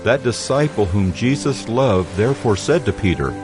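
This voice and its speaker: A man narrating scripture in a steady, solemn scripted reading. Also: fairly low pitch, normal speed. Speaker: Bible narrator. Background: music.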